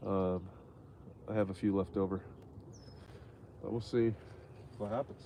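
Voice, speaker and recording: Stuffy-nosed man, lowish voice, rambling outdoor fishing commentary, faint birds behind. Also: fairly even intonation, unhurried, warm tone, a little hiss or hum. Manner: rambling, many fillers and restarts. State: stuffy nose. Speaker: fishing livestream host. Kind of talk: casual live fishing commentary.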